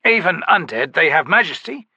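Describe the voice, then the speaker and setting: A disciplined knight commander, voiced by a male narrator speaks in a raised, firm, courteous tone with crisp, formal phrasing. His voice sits noticeably higher and louder than the narration, and the recording is dry and close. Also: very animated, moderate pace, dry recording, muffled recording, full character voice.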